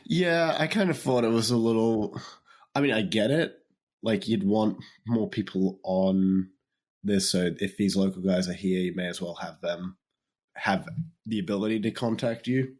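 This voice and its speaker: A man co-hosting a podcast, hoarse and losing his voice from illness, chatting casually about a film with a teasing, jokey manner. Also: fairly low pitch, moderate pace, darkish tone. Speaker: podcast co-host.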